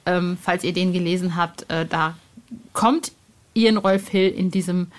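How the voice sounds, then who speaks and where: A woman's voice: on the low side, steady tone, unhurried. A woman hosting a podcast in a casual, chatty way, speaking informally and effusively with plenty of slang. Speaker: podcast host.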